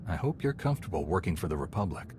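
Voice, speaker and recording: A man's voice: low-pitched, big pitch swings, background noise. A man playing a resolute, merciful Jedi commander in a video game, speaking firmly and solemnly. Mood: calm resolve. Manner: firm, solemn, measured. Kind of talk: dramatic video game acting. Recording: music behind the dialogue. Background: music.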